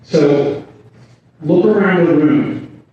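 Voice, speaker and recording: Male speaker, lively and scattered, recorded from far off in a reverberant hall with dull, lo-fi sound. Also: on the high side, very slow. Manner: animated, bouncing between asides and big ideas. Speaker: conference speaker. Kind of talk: energetic conference talk. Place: conference hall.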